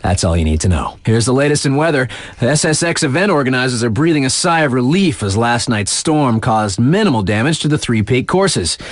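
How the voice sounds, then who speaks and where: An actor playing a laid-back, wisecracking mountain radio DJ in a video game, giving playful scripted bulletins in a wry, slangy, casually hip way. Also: male voice, mid-range voice, no echo, close to an everyday voice.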